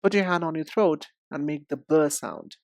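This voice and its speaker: A man teaching English pronunciation, explaining step by step in a deliberate, didactic way and speaking directly to the learner. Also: a little high, expressive, gravelly, dry recording. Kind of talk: instructional lesson with demonstrations.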